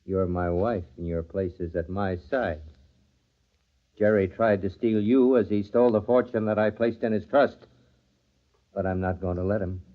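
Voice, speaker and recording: An actor playing a coldly possessive, menacing wronged husband in an old radio thriller, speaking deliberately and ominously. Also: male voice, on the low side, fairly even intonation, natural voice. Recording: thin, phone-like old radio recording.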